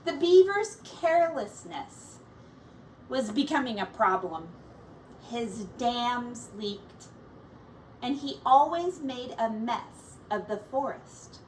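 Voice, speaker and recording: Slow-reading woman teacher, distant and higher-pitched. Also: very animated. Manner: pausing to explain words and comment on pictures. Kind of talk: expressive children's picture-book read-aloud. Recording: distant mic with faint background noise.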